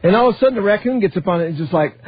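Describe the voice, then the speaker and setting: Man, radio host, jovial and chatty, laughs easily, bouncy high voice, muffled broadcast sound. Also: animated, rapid-fire, noisy background. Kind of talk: casual radio interview.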